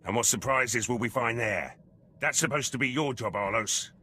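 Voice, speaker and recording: An actor voicing a gruff, battle-hardened soldier in a video game, terse and blunt, speaking in clipped sentences. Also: male voice, medium pitch, moderate pace, pushed and bright, a little hiss or hum, close to an everyday voice. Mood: stern, irritated. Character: gruff, battle-hardened male military commando, loyal and brash.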